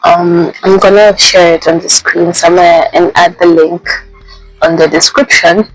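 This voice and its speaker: A young woman vlogging casually, speaking softly with a voice made raspy by a flu, pausing often with ums. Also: fairly low pitch, level tone, soft background music, low-quality recording. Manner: soft-spoken, halting with many ums. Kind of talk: casual personal vlog. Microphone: handheld mic.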